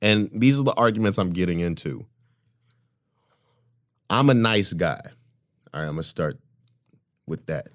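A man with a deep voice co-hosting a casual podcast, talking emphatically and often laughing. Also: expressive, unhurried, pauses often. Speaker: podcast co-host. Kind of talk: casual chat.

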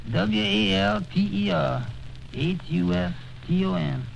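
An actor playing a simple, homely rustic fellow in a radio sketch. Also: male voice, high voice, lively intonation, a little slow, vocal fry, slight background noise, full character voice, low-quality recording. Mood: fond, wondering.

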